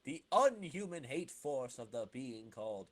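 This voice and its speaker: A man's voice: medium pitch, very animated, unhurried. A man co-hosting a podcast, doing amateur dramatic narration and chat. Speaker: podcast co-host reading comic narration.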